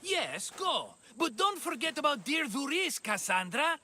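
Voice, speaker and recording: A man's voice: extremely high pitch, very animated, a little slow, pushed and bright, heavily acted. An actor playing a chatty, scheming middle-aged man who talks in a fussy, coaxing way. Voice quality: fussy, wheedling, hesitant.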